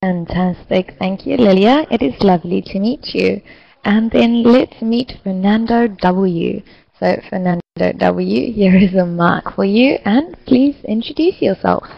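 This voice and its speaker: Female online English tutor, heavy vocal fry, slow clear encouraging delivery. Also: medium pitch, no room sound, muffled recording. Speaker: English teacher hosting an online class. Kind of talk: scripted online lesson facilitation.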